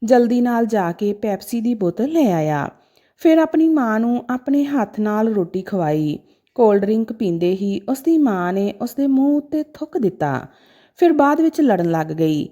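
A woman's voice: fairly high pitch, very animated, normal speed, dark tone. A woman narrating a scripted, sentimental story in a dramatic storytelling style.

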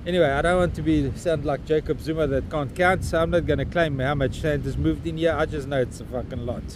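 A man's voice: on the high side, fairly even intonation, fairly quick. Blunt South African man ranting outdoors. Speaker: vlogger. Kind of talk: outdoor walking vlog. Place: windy beach at an estuary mouth, outdoors. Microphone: phone camera held at arm's length. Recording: wind and outdoor noise.

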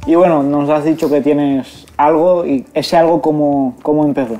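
A man's voice: fairly high pitch, fairly even intonation, normal speed, warm tone, faint music behind. A young man co-hosting from a sofa in a small studio set, teasing the guest with cheeky, crude jokes. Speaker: co-host. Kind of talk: casual joking chat.

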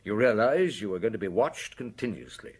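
A man's voice: lowish voice, animated, a little fast, slightly rough. An actor in a radio drama playing a shrewd, weary, stern police chief, blunt and scornful as he interrogates. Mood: accusing. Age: middle-aged.

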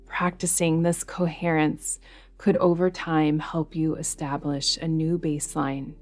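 A woman's low voice leads a guided meditation over music. She keeps her pitch very even and steady. Her delivery is soothing and unhurried, with space between the instructions. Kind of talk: guided yoga nidra meditation narration. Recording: music behind the voice.

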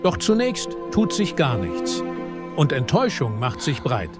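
Higher-pitched, animated male narrator. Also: unhurried. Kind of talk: scripted audio drama narration. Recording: narration over loud film music.

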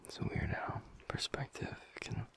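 A man playing a tender, teasing alpha werewolf boyfriend in an intimate audio roleplay, murmuring softly in a breathy, gravelly whisper with pauses. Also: close to an everyday voice. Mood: tender, wistful. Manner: slow, soft, pausing, murmured.

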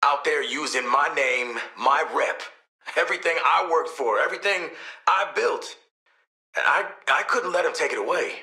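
A man's voice: on the high side, animated, normal speed, bright, forceful tone, echoey room, full character voice. An actor playing a tough, wry male assassin and protective father in a video game, with a sardonic, streetwise manner. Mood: wounded pride.

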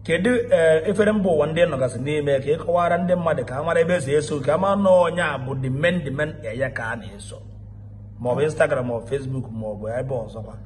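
A man's voice: fairly high pitch, very fast, darkish tone, a little hiss or hum. A man with a Nigerian Igbo accent, politely asking interview questions. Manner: formal, courteous questioning.

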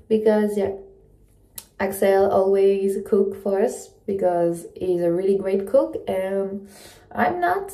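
A woman chats casually to camera, haltingly searching for words in English. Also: mid-range voice, creaky, dark tone. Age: 20s. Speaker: travel vlogger. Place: small indoor room at night. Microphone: phone propped in front of her. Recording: echoey small room.

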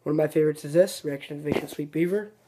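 Guy showing a fishing trick on his channel, laid back and a bit rambly, soft warm voice. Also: fairly high pitch, very fast, slightly rough. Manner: relaxed, rambling, self-correcting explanations. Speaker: fishing video host. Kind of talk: casual how-to demonstration.

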